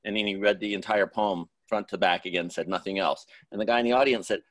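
A man in a casual, reflective discussion on a video call, halting and thoughtful as he searches for words. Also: on the low side, fairly even intonation, a bit bright, no room sound. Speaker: writing teacher and author, audience member giving feedback. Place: indoors, online video call. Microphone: video call microphone.

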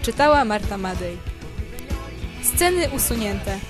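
A woman's voice: medium pitch, sing-song, measured pace. A woman narrator reading a verse fable as storytelling in an amateur recording. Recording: homemade recording over loud music.

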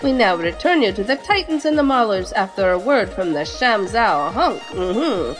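A woman's voice: mid-range voice, sing-song, normal speed, natural voice. A woman playing a breezy, flirty news anchor in a futuristic audio drama, perky and showy. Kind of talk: mock newscast in audio drama. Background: music behind the voice.

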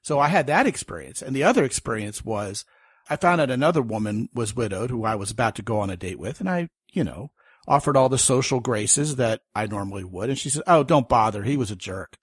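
A man's voice: mid-range voice, expressive, a little fast, husky, no echo, clean studio sound. Chatty storytelling male podcast host. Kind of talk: casual interview chat.

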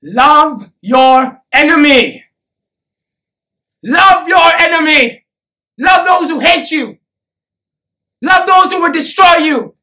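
A high-pitched, impassioned preacher, acted by a woman on stage delivers a sermon-like dramatic monologue. The delivery is very slow and deliberate, with emphatic repetition and weighty pauses. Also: lively intonation. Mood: fervent, impassioned. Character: impassioned preacher urging a crowd to love. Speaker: actor performing a monologue.

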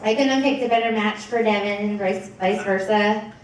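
A young woman with an American accent gives an informal, joking, halting wedding toast as maid of honor into a handheld microphone. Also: mid-range voice. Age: young adult. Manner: animated, joking, halting with pauses. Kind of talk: informal speech, partly read from notes. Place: indoor wedding reception room. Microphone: handheld PA microphone, filmed from across the table. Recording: echoey, distant, very noisy crowded hall. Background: laughing, noisy crowd.

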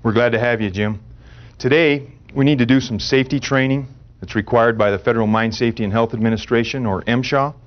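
A man in his forties with an American accent playing an earnest, measured safety trainer in a scripted industrial training film. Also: medium pitch, steady tone, natural voice. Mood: earnest, instructive. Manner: instructive, measured, explanatory. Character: earnest, knowledgeable male mine safety trainer. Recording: dull audio under background music.

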